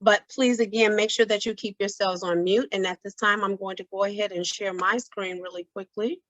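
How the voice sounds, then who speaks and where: A woman with a raspy voice hosting an online event, speaking in a courteous, organised announcing manner. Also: medium pitch, steady tone, a little fast, a bit bright, no echo. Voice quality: raspy this evening. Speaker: host of an online symposium. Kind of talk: event introduction and hosting on a video call. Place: indoors on a video call. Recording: dull video-call audio.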